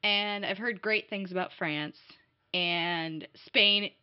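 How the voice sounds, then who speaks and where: An animated young woman tells stories in a casual chat, laughing often. Her voice sits in a middle pitch, and the recording is dull and dry, with no room echo. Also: slow, smooth. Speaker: guest. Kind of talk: casual conversation. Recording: dull, dry, muffled sound.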